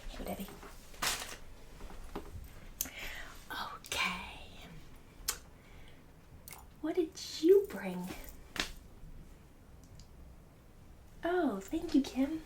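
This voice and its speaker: A woman's voice: a little high, recorded from a distance, noisy background. A woman playing a snobbish, mean suburban book club hostess in an ASMR roleplay, partly whispered, with condescending, fake-polite emphasis. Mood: patronizing, then snooty indignation.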